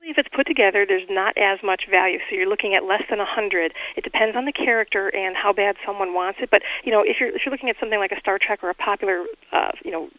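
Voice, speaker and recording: A man's voice: sits high, quick pace, hardly any pauses, no echo. A man giving informal expert advice on a radio show over a thin telephone line. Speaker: collectibles expert guest.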